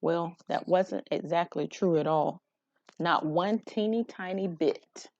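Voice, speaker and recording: A woman reading a children's picture book aloud in an expressive storytelling style. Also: lowish voice, slow, vocal fry, no room sound, lo-fi sound.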